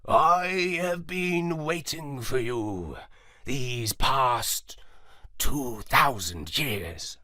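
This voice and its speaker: A man voicing an ancient, solemn male dog who speaks slowly and clearly in a children's story. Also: medium pitch, lively intonation, pushed and bright, no echo, studio quality, full character voice. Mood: solemn welcome. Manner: slow, solemn, clearly spoken. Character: ancient, solemn, grey-nosed male moondog.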